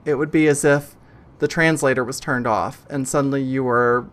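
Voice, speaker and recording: A man narrating and explaining as game master in a tabletop role-playing game, in a measured, slightly hesitant way. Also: medium pitch, fairly even intonation, fairly bright tone.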